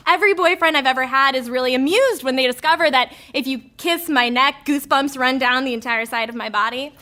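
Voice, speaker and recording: A woman's voice: high-pitched, brisk, sharp tone, a little hiss or hum. A woman in her thirties reading a comic confessional story in a candid, wry way, acting out the lines she quotes.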